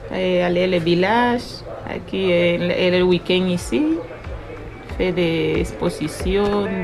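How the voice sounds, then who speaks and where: Slow, gravelly Dominican woman in her forties. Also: medium pitch. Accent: Dominican Spanish. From Dominican Republic. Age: 40s. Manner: hesitant, slips into French, laughs often. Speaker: interviewee. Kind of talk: casual radio interview.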